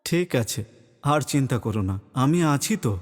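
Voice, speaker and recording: A man's voice: medium pitch, expressive, moderate pace, natural voice. A man voicing a brave, kind young prince in a cartoon fairy tale, earnest and reassuring. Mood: amused, reassuring. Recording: long hall reverb, music underneath.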